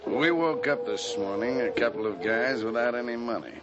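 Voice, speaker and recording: An actor playing a wry, reflective adventurer in an old-time radio drama. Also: male voice, medium pitch, moderate pace, dull audio, heavy background noise, natural voice. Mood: musing. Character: wry, musing male adventurer in Africa.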